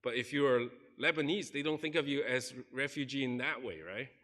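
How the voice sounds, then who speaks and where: A man's voice: medium pitch, normal speed, pushed and bright. A man preaching a sermon in a church, earnestly telling a story and acting out the people in it. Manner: earnest, recounts stories and acts out quoted people. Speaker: pastor. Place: church sanctuary.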